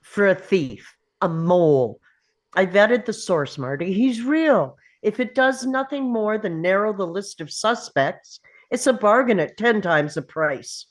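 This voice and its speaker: A woman playing a brisk, confident CIA officer arguing her case at a script table read, assertive and emphatic. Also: medium pitch, expressive, lightly acted. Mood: firm, persuasive.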